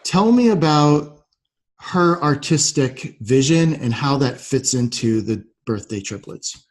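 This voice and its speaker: A man's voice: medium pitch, expressive, takes it slowly. A man hosting a conversational podcast interview, agreeable and thoughtful, rambling a little as he develops his points. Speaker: podcast host.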